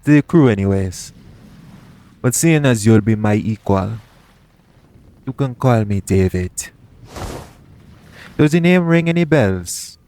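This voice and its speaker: A man playing a shady, smooth-talking pirate captain in an audio roleplay, coaxing and self-assured in a conversational way. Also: fairly low pitch, lively intonation, slow, pauses often, noisy background. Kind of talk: second-person audio roleplay acting.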